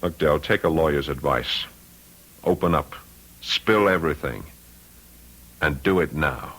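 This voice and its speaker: An actor playing a shrewd, dogged lawyer in an old-time radio mystery, blunt and coolly insistent. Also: male voice, a bass voice, measured pace, a bit bright, heavily acted, low-quality recording. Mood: cool, pressing. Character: shrewd, dogged male lawyer investigating a crime. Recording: radio drama recording.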